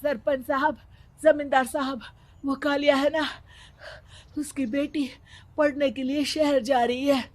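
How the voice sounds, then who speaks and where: A woman's voice: high-pitched, level tone, unhurried. A woman doing an urgent village messenger. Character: breathless male village messenger.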